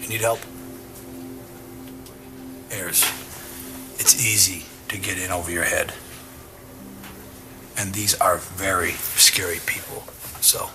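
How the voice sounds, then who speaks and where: A man's voice: low-pitched, steady tone, takes it slowly, pauses often. A man playing a dogged, confrontational police detective in an audio drama, blunt and pressing. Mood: probing. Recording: music under the voice.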